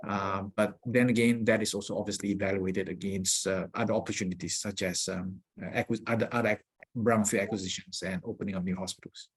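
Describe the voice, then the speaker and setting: A man speaking as a company executive, giving measured, explanatory answers on an earnings call. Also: fairly low pitch, fairly even intonation, breathy edge.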